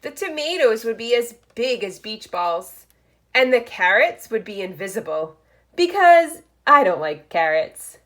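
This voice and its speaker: Slow, very animated woman reading to children. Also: on the high side. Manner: expressive, deliberate storytelling with short phrases and asides. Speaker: teacher reading aloud to first graders. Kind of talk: picture-book read-aloud for young children.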